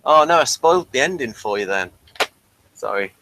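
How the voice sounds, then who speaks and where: Man, mid-pitched, informal co-reviewer, explanatory and slightly halting. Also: lively intonation, pushed and bright, rough recording. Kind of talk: casual unscripted review chat.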